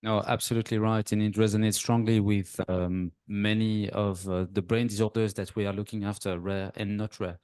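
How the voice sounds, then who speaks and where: Smooth low-voiced male moderator. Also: little pitch movement, moderate pace, few pauses, a little mellow, no echo, clean studio sound. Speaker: panel moderator. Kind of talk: spontaneous conference moderation.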